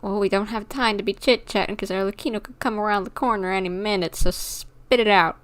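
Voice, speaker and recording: A woman's voice: mid-range voice, fairly even intonation, a little fast, fairly bright tone. A woman streaming a game with casual live reactions and blunt, sardonic asides.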